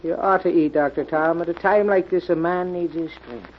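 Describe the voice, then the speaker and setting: An actor playing a folksy, deferential but sly manservant in an old radio mystery, hesitant and insinuating. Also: male voice, a little high, fairly even intonation, normal speed, natural voice. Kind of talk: 1940s radio mystery acting. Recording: muffled, noisy old-time radio recording.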